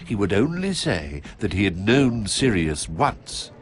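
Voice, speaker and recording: A man giving scripted, measured storybook narration for video game cutscenes. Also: fairly low pitch, sing-song, slight background noise.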